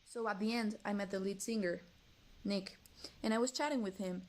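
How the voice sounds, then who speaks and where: A woman's voice: medium pitch, normal speed, a little mellow. Casual woman telling a story. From Mexico. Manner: casual, slightly hesitant with fillers. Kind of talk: storytelling vlog.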